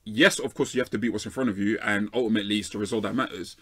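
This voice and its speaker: A London man in a sports debate, talking at a rapid clip. He drives his argument forward with emphasis and checks in with rhetorical tags as he goes. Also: fairly low pitch, fairly even intonation, fairly bright tone, slightly rough. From South London, England. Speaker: panelist on a football fan show.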